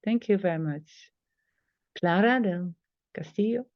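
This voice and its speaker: A woman moderating a webinar, briefly thanking people and reading out names haltingly. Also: medium pitch, no echo. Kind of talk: calling on participants. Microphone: video call. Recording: dull, dry video-call audio.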